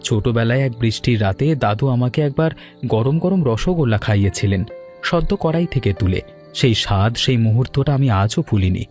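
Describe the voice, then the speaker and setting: A man's voice: medium pitch, expressive, fairly quick, a little mellow, soft background music, close to an everyday voice. Man acting as earnest grown son, cartoon drama. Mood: earnest, reflective. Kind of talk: Bengali cartoon dialogue.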